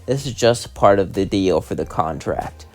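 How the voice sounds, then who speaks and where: A man's voice: low voice, expressive, normal speed, darkish tone, pitched below the performer's own voice. A man voicing a flustered, argumentative demon imp in a fan story, with music behind him. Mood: defensive.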